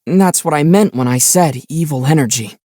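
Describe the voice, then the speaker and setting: A man voicing a calm, gentle young traveler hero in a video game, speaking thoughtfully with mild teasing. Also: on the high side, no room sound, clean studio sound, natural voice. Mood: calm, explanatory. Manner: measured, thoughtful, mildly teasing. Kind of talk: video game character voice lines.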